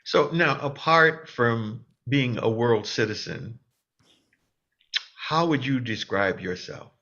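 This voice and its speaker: Male interviewer, halting, repeats himself, slow and bright, muffled lo-fi audio. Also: mid-range voice. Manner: halting, repeats words as he starts. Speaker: interview host. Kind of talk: casual interview.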